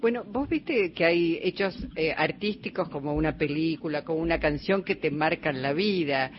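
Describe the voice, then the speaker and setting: A woman's voice: deep voice, lively intonation, normal speed, a little hiss or hum. A woman with an Argentine accent co-hosting a radio interview, chiming in with eager agreement. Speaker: radio co-host. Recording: dull radio studio sound.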